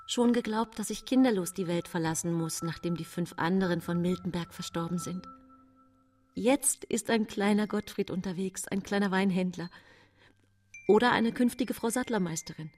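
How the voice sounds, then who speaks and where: A woman's voice: medium pitch, moderate pace, darkish tone, recorded from a distance, a little hiss or hum, lightly acted. An actress playing a hopeful, self-dramatising expectant woman in her thirties, speaking an inner monologue in a radio drama. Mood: hopeful, elated.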